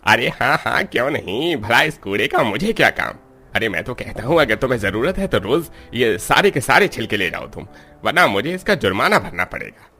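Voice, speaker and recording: Cartoon village peanut seller, acted by a man: husky, bright, forceful, obliging and chatty. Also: mid-range voice, very animated, brisk, heavily acted. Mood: eager, obliging. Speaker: character voice actor. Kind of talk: Hindi cartoon story dialogue.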